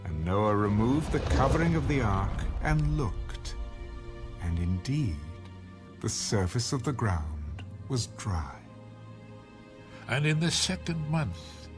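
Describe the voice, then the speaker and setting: A man narrating scripture from a script as an audio Bible, solemn, formal and measured. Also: mid-range voice. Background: music under the narration.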